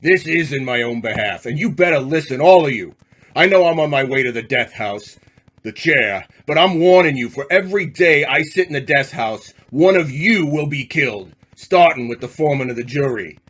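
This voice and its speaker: An actor playing a vengeful, menacing condemned gangster in a radio drama, with tough, slangy delivery. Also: male voice, a little high, animated, normal speed, fairly bright tone. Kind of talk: old-time radio drama acting.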